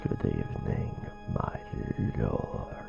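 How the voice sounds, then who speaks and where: A man voicing a cold, menacing necromancer in a fantasy audio roleplay, formal and ominous, with a breathy gravelly rasp. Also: takes it slowly, highs cut off, music in the background, natural voice. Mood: threatening, imperious. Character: menacing, cold necromancer, coven envoy to a vampire court.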